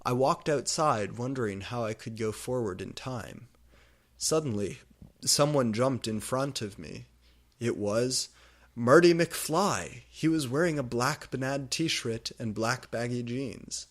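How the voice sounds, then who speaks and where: A man reading fan fiction aloud with deliberate, theatrical, comedic narration. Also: mid-range voice, a bit bright.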